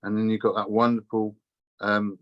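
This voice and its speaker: A man explaining a community funding idea on a video call, halting with many fillers and restarts. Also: lowish voice, level tone, no room sound, lo-fi sound. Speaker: community project co-founder. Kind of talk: casual video call chat.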